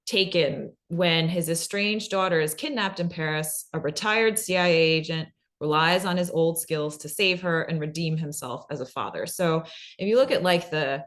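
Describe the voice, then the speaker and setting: A woman's voice: low voice, normal speed, a bit bright. A woman presenting in an informal workshop style, conversational and often checking in with the listener as she explains.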